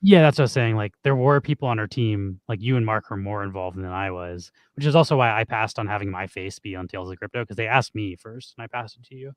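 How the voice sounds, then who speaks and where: A hoarse man co-hosting a stream, chatting casually and rambling with lots of "like". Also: lowish voice, fast, few pauses, no echo. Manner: rambling, heavy use of "like". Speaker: streamer co-host.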